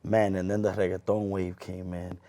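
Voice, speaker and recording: A man in a casual interview telling his story as a club hype man, animated and slangy, often repeating phrases. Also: sits low, moderate pace. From US, Lawrence Massachusetts. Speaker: guest, hype man and promoter.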